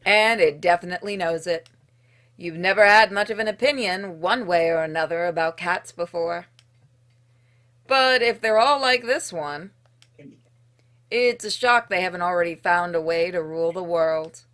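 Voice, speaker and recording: A woman with a fairly low voice narrates a game as she plays it. She reads the text like a story, expressively, and slips into casual, dryly funny asides. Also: normal speed, fairly bright tone. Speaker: streamer playing and narrating a game. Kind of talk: reading visual novel narration aloud with casual asides.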